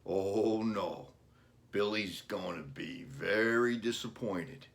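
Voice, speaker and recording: A man reading a children's storybook, playing an earnest, gentle father talking about his young son's wishes. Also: sits low, slow, gravelly, full character voice. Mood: dismayed.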